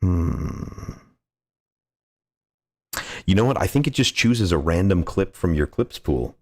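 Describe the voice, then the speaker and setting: A man's voice: low voice, big pitch swings, takes it slowly. A man livestreaming a game, chatting casually and playfully with his viewers, effusive and prone to repeating himself. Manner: effusive, playful, repeats phrases, goofy asides. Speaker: livestreamer playing a game and greeting chat.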